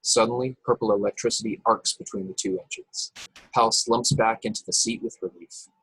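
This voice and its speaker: A man narrates the stage directions of a script at a live table read. His voice is fairly low and husky. The sound is close and dry, with no room echo. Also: fairly even intonation, moderate pace, fairly bright tone. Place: remote video call, dry sound.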